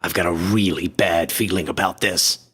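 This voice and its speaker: Husky, anxious everyman, played by a man. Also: sits low, fairly even intonation, moderate pace, a bit bright. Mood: worried. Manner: earnest, worried, dramatic. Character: anxious, loyal male friend, uneasy witness to horror. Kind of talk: radio horror drama acting. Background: faint music.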